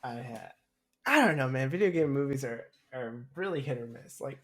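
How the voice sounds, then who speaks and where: Young man, medium pitch, informal chat, podcast co-host. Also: expressive, normal speed, fairly bright tone. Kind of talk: casual chat about games and movies.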